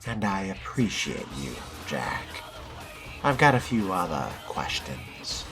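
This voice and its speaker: A man voicing a guarded fledgling vampire in a video game role-play, with dry, deliberate, sardonic delivery. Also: low voice, sing-song, creaky, over music, heavily acted. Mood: curious, dry.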